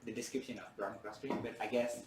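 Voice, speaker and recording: A man's voice: lowish voice, steady tone, fast talker, heavy background noise. A man whose first language is Indonesian, giving a university lecture in a hesitant way with many fillers and restarts. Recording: roomy lecture hall from far off.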